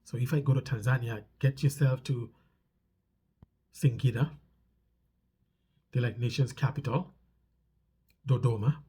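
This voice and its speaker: A man's voice: mid-range voice, fairly even intonation, measured pace, pauses often, dark tone, husky. A man with a stuffy nose talks casually to viewers as a vlog host, explaining things conversationally and repeating phrases for emphasis.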